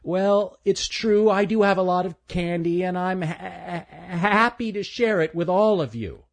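A man's voice: sits high, a little slow, gravelly, voice pushed up. A man voicing a shy young male woodland animal who stutters on his first sounds. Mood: stammering, timid.

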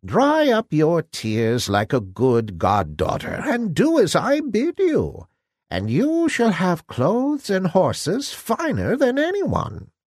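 A man voicing a feeble, croaking old beggar woman who is secretly a kindly, gently commanding fairy godmother in a storybook. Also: high voice, huge pitch swings, slow, no echo, studio quality, voice pushed up, exaggerated voice.

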